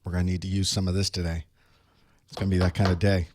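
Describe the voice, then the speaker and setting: A man with a hoarse voice from a cold, chatting casually to his live stream audience while he sets up for an online class. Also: deep voice, little pitch movement, quick pace, a little mellow, slight background noise. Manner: chatty, wry, talks to himself while setting up. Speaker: online course instructor. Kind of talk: casual pre-show chat to a live stream. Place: small studio desk.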